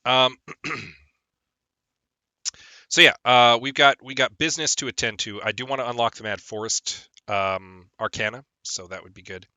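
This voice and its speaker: A man's voice: mid-range voice, steady tone, moderate pace, pushed and bright, dry recording. Chatty phlegmy man streaming a game. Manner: rambling, chatty, lots of ums and uhs. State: phlegmy from a lingering cold. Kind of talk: casual gameplay stream chat.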